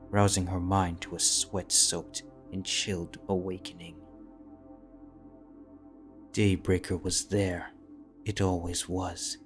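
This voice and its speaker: Casting: low, even-toned male storyteller, unhurried, with clear music playing behind. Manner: slow, measured, somber. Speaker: audiobook narrator. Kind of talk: scripted fanfiction narration. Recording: music bed under the reading.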